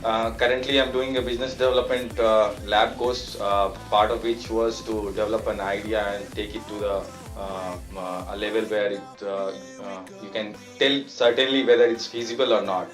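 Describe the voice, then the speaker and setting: A man's voice: fairly low pitch, level tone, moderate pace, few pauses, reverberant, faint music behind. A young man from India, a master's student, talking about his team with many hesitant fillers. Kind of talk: interview, team story.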